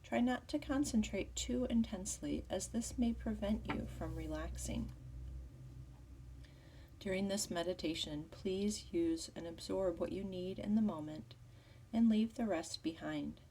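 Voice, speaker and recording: A woman teaching yoga nidra as a guided meditation, with a soft, breathy voice and soothing, measured instruction. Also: mid-range voice, recorded from a distance, a little hiss or hum.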